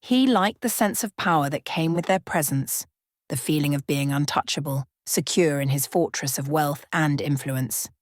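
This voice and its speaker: A woman reads a dark bedtime story in a slow, hushed, measured way. Her voice sits on the low side and sounds close and dry. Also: no echo. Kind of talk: ASMR bedtime story narration.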